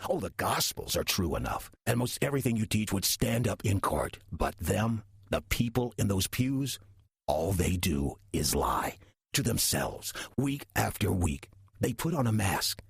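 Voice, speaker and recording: An actor playing a sly, taunting demon posing as a church caretaker, smug and sardonic in a horror audio drama monologue. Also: male voice, lowish voice, very animated, gravelly, breathy edge, no echo, full character voice. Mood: scornful. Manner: smug, sardonic, deliberate storytelling with drawn-out emphasis. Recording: loud music under the voice.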